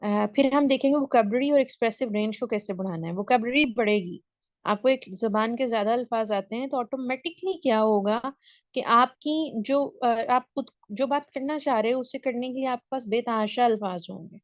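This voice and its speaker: Woman, English tutor, fairly high voice, checks in often, dull dry call audio. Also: normal speed, no room sound. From Pakistan. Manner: explains step by step, checks in often. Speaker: English teacher. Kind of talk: online class lecture. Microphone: video call.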